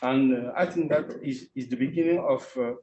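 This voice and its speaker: Male academic panelist, slow and halting, mellow voice, roomy lo-fi sound. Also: mid-range voice, a little hiss or hum. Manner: halting, many fillers and restarts. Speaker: visiting professor. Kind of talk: panel conversation.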